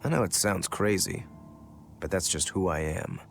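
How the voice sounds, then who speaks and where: A deep-voiced male swordsman turned demon from a video game. He is brooding and self-critical, speaking in a plain, matter-of-fact way, with faint music underneath. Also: normal speed, creaky. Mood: resolved, easygoing. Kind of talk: dubbed video game dialogue.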